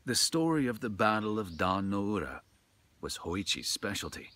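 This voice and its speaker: A man's voice: lowish voice, big pitch swings, normal speed, sharp tone. A middle-aged man reading a ghost story aloud in a dramatic, scripted storytelling style. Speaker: storyteller, narrator. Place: outdoors in a forest.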